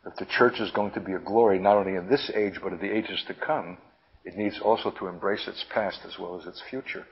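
A man preaching and teaching in a deliberate, emphatic manner with lofty wording, halting now and then to repeat himself. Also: deep voice, steady tone, husky. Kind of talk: impassioned prophetic sermon, teaching. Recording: dull, lo-fi sermon recording.

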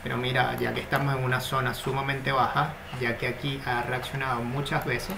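A man gives a screen-recorded crypto market analysis, talking through each chart in a steady, explanatory way. His voice sits in the middle range for a man. The recording carries clear background noise from his home setup. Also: mid-range voice, brisk.

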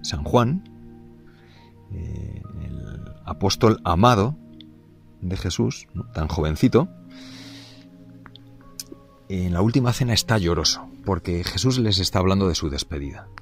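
A man, a priest, giving an unscripted catechesis in a gentle, halting way, with many fillers and restarts. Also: low voice, expressive, gravelly, soft background music.

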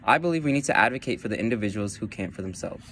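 A young man rapidly reading his prepared part of a class presentation. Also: lowish voice, lively intonation, a bit bright, a little hiss or hum. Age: young adult. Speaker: student presenter.

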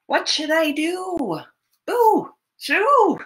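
A woman's voice: extremely high pitch, very animated, drawn-out words, voice pushed up, heavily acted. A woman voicing a flustered small child in a picture-book read-aloud, exclaiming and grudgingly giving in. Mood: startled, alarmed. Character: flustered small child shooing animals off the porch.

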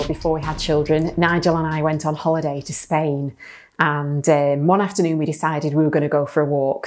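A woman in her forties from England telling a personal story as a church speaker, lively and direct to the camera in a small studio. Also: low voice. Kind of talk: sermon storytelling. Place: small plain studio, indoors.

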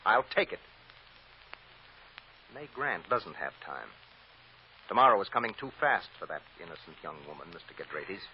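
An actor playing a stern, righteous defense lawyer, deliberate and insistent, in an old-time radio drama. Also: male voice, deep voice, sing-song. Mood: relentless, forceful. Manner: deliberate, insistent, repeats phrases for emphasis. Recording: dull, noisy old radio recording.

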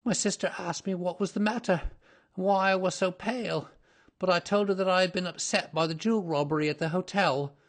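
A man voicing a terrified, cringing small-time thief in an audiobook story, with a crackling, pleading voice. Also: a little fast. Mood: nervous confession. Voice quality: crackling, shrill when pleading.

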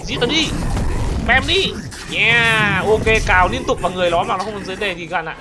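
A gaming video host giving excited gameplay commentary, exclaiming often and addressing viewers like friends. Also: mid-range voice, brisk. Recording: music behind the voice. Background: background music.

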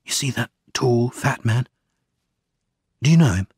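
A determined gentleman played by a male narrator presses his questions with earnest urgency, as in a mystery audiobook. The intonation swings widely, in a very dry, close recording. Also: medium pitch, animated, unhurried, husky, dry recording. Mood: curious, pressing. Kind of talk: audiobook character dialogue.

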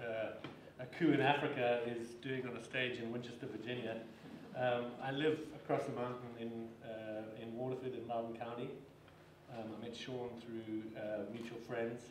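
Panel author, man from Zimbabwe in his forties, halting delivery, roomy distant sound. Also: medium pitch, flat delivery, noisy background. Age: 40s. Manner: hesitant, many fillers and restarts. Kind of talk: casual talk on a panel. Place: stage in a large dark hall. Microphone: handheld microphone. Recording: roomy hall sound, mic a bit off.

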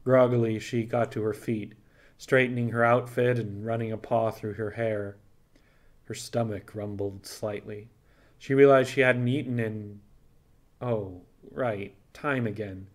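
A man narrates fiction in audiobook style. His pitch is average for a man. He reads scripted prose as a storyteller. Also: steady tone, measured pace.

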